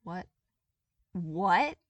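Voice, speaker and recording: A woman's voice: lowish voice, expressive, very slow, dry recording. Woman acting out a brash young girl, blunt, demanding, tough but caring, storybook character, slightly distant from the mic. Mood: blunt, curious.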